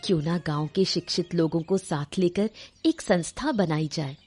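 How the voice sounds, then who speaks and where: A woman's voice: on the low side, moderate pace, a little mellow, soft background music, natural voice. A woman playing a sensible, determined, educated young village woman in a cartoon story, polite and composed. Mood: purposeful. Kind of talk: Hindi cartoon story acting.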